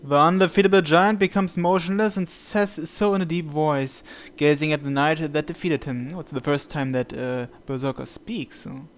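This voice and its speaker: A man reading a visual novel's story text aloud as narration, in a measured, deliberate way. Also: on the high side, slight background noise. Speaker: video game player reading the script aloud.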